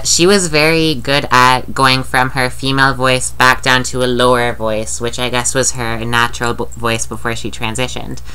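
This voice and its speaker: A woman's voice: very deep voice, bright, forceful tone, smooth. A woman with a nasal voice, talking in a rambling, candid video diary and swearing casually. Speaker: vlogger.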